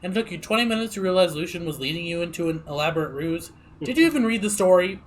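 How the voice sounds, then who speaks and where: A man's voice: high voice, expressive, fast. A man voicing a stern, exasperated schoolteacher father who scolds his son, with faint room noise behind. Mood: incredulous. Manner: scolding, incredulous.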